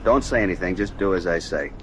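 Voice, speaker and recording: Quick-talking, folksy shopkeeper witness. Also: male voice, deep voice, lively intonation. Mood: plain recounting. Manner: folksy, colloquial, rambling witness account. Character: plain-spoken male shopkeeper, shaken robbery victim. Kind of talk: 1950s radio drama acting. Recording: muffled old radio playback under loud truck noise. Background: loud vehicle engine and road noise.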